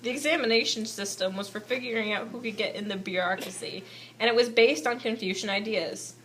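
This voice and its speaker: Bright-voiced woman as a puppet history teacher. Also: on the high side, a little fast, lightly acted, rough recording. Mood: instructive, exasperated. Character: patient puppet history teacher managing unruly class. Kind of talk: scripted lesson in amateur puppet show. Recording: faint background noise, amateur recording.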